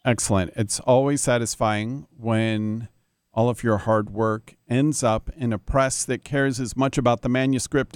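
A man hosting an interview, speaking in measured, formal sentences with occasional fillers. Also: lowish voice, a little mellow, smooth, no room sound, clean studio sound. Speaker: interview host. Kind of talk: radio-style interview.

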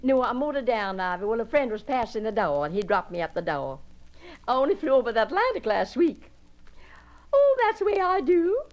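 A woman's voice: medium pitch, a little mellow, highs cut off, close to an everyday voice. A woman acting a breathless, gushing society woman arriving at a country house, in a solo character monologue.

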